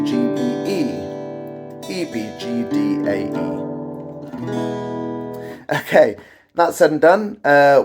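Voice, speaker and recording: Croaky-voiced man teaching guitar. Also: mid-range voice. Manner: chatty, self-deprecating, wry asides. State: croaky voice from a cold. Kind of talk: casual guitar tutorial. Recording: guitar playing behind the voice.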